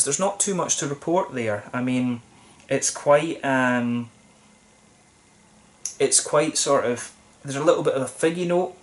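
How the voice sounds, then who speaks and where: A young man talking to camera at a table in a small room, reviewing a beer in a thoughtful, rambling way with many ums and restarts. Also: mid-range voice. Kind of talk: casual solo review to camera. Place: small plain room indoors, seated at a table.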